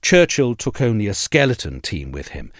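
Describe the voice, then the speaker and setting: A male narrator reads formal prose in a low voice, with wide, expressive swings of pitch. His delivery is measured and articulate, and the recording is dry and close. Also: no room sound. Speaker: narrator reading an essay aloud. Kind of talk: scripted narration of a history essay.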